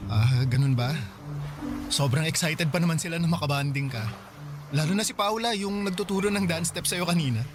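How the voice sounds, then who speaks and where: Actor as high-voiced young Filipino boyfriend. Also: male voice, a little fast, natural voice. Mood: affectionate, curious. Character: considerate, eager younger boyfriend. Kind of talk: Filipino radio drama acting. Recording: music under voice.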